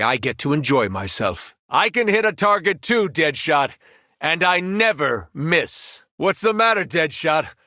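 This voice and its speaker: An actor playing a powerful, cruel superhero turned villain in a video game, arrogant and taunting. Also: male voice, on the high side, pushed and bright, dry recording, clean studio sound, heavily acted. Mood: arrogant contempt, then mocking menace. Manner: taunting, menacing, condescending.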